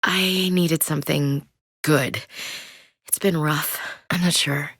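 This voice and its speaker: A frank, steady woman soldier for a sci-fi video game. Her voice sits low and she speaks in plain, dry military terms. The studio recording is close and dead dry. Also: slow, husky, no echo, full character voice. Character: tough female human soldier, blunt and loyal. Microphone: close studio mic.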